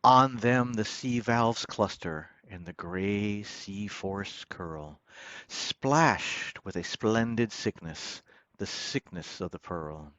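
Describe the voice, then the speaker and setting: Male poetry reader, declamatory and dramatic, very unhurried, shifting from hushed to proclaiming; dry, close sound. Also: lowish voice, animated, no room sound, lo-fi sound. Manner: declamatory, swelling from hushed line endings to loud proclamations.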